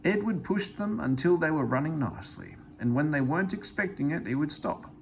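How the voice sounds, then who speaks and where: Guy reading a kids book out loud, really expressive, pitch moves a lot, bit of background noise. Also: fairly quick, creaky. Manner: expressive, animated. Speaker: storybook reader. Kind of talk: children's story read-aloud.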